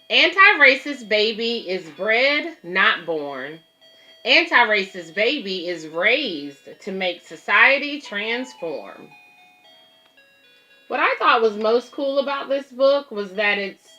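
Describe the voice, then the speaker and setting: A woman's voice: medium pitch, sharp tone, poor audio. A woman hosting a children's read-aloud, reading a picture book and explaining it simply to young listeners.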